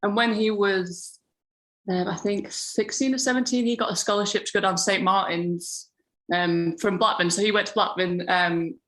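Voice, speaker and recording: A woman, telling stories in a relaxed, rambling way as a podcast guest. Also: medium pitch, moderate pace. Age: 30s. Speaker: podcast guest, grower and florist. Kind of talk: casual interview chat.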